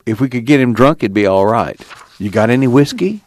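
A man voicing a level-headed young farm son with calm, practical, folksy rural speech. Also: medium pitch, fairly quick, natural voice.

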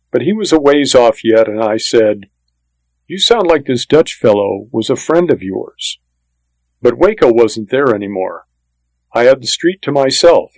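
A man's voice: mid-range voice, no echo, rough recording. Matter-of-fact male western narrator, dry sound. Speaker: audiobook narrator. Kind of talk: first-person western story narration, scripted.

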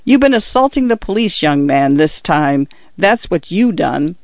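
Guy doing a bullying village cop, pitched up high, dry dull lo-fi sound. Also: very animated, unhurried, dry recording. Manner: dropped aitches, bullying, scornful. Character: gruff male village constable, blustering and suspicious.

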